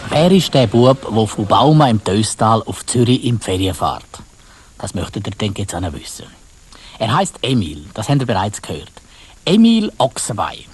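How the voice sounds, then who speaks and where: A man's voice: lowish voice, big pitch swings, moderate pace, breathy edge. A man with a Swiss German accent narrating a children's story in a colloquial way, addressing his listeners directly.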